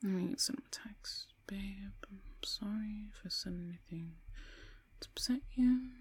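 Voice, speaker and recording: A woman acting a foul-mouthed young woman in an audio drama, speaking in a halting, informal way with breathy murmurs. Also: medium pitch, a bit bright. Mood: worried, muttering. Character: foul-mouthed young woman, casual with friends, pleading when threatened. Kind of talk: solo audio roleplay drama, one side of dialogue.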